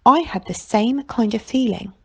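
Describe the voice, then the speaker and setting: A woman voicing a gruff, wistful fairground stallholder man in a storybook, talking in folksy dialect. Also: a little high, normal speed, darkish tone. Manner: folksy dialect speech, marvelling.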